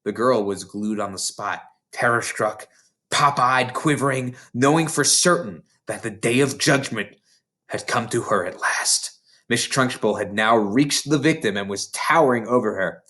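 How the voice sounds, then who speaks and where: A reader giving an expressive, dramatic read-aloud narration of a children's novel. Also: mid-range voice, a little slow. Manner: dramatic, animated storytelling. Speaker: storybook reader.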